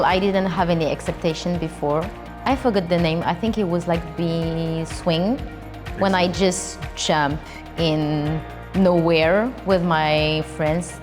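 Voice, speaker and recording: Excitable woman from France. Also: lowish voice. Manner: lively, excitable, searching for words. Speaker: trip participant. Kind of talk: casual interview answers. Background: music.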